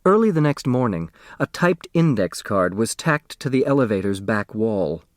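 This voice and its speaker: A man narrating a children's novel as an audiobook, with expressive, deliberate storytelling on a close studio microphone. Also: fairly low pitch, dry recording.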